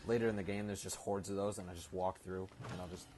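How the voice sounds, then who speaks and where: A man's voice: deep voice, flat delivery, fast talker, noisy background. A young man giving casual gameplay commentary as a gamer host.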